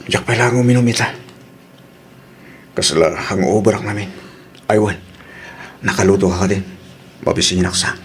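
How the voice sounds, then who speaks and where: A man's voice: on the low side, normal speed, long pauses, a little hiss or hum, lightly acted. A man with an Ilocano Filipino accent playing a violent, jealous, drunken husband in an audio drama. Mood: subdued, groggy.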